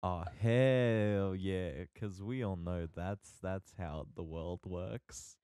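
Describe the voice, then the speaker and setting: A man with a fairly low voice, recorded close and dry with little room sound. He co-hosts a podcast in casual banter, with an informal, sarcastic edge. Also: slow, warm tone, clear, resonant tone, no room sound. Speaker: podcast co-host.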